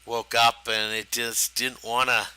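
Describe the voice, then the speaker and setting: A man with a rough, hoarse voice hosting a live stock trading stream, talking in a halting way with many fillers and restarts. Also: mid-range voice, little pitch movement, sharp tone, a little hiss or hum. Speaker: stock trading show host. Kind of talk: live market commentary.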